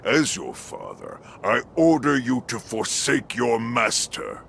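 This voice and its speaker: An actor playing a scheming old shinobi father in a video game, imperious and deliberate, with a gravelly voice. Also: huge pitch swings, a little hiss or hum, exaggerated voice. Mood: commanding. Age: older. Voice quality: very deep gravelly voice. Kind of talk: video game cutscene acting. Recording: loud music underneath.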